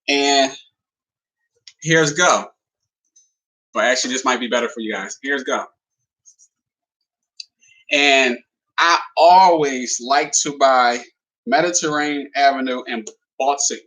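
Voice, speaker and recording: Man, livestream host, bright tense voice, middling pitch, friendly, simple plain talk, "you know" fillers. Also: low-quality recording. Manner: friendly, addresses viewers as "guys". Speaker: livestream host presenting a business opportunity. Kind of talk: casual livestream presentation.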